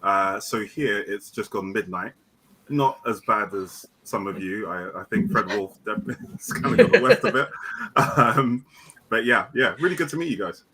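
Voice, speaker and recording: A man's voice: lowish voice, animated, normal speed, noisy background. A man from the United Kingdom and guest cosplayer, chatting on a video call with dry, wry humor.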